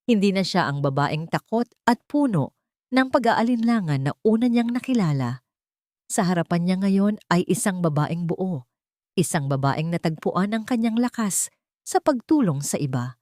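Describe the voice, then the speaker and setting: A woman's voice: lowish voice, animated, normal speed, a little mellow, no room sound, clean studio sound. A woman narrating a scripted dramatic story as a storyteller and host.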